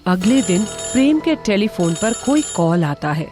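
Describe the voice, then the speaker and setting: A woman narrator reading a scripted animated horror story. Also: medium pitch, unhurried, music in the background.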